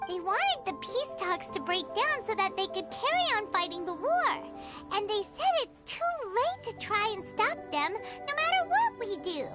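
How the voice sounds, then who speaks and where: A woman voicing a tiny, excitable female companion sprite who chirps and speaks of herself in the third person, over game music. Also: extremely high pitch, moderate pace. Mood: indignant. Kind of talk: video game voice acting.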